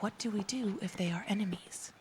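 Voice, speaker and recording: A woman's voice: medium pitch, brisk, a little hiss or hum, natural voice. A woman playing a naive, curious young woman in a fantasy audio drama. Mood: hushed, anxious.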